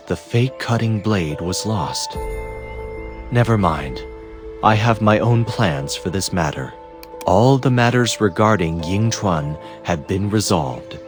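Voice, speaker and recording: A man playing a calm, wise master and protector in a fantasy game, speaking in a measured, formal, instructive way. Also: low-pitched. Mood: calm, reassuring. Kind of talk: dubbed fantasy game cutscene acting.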